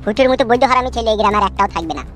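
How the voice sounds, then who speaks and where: A man's voice: high voice, racing, a bit bright. A man voicing a scheming village gang boss in a cartoon comedy, boastful and conspiratorial. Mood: gloating.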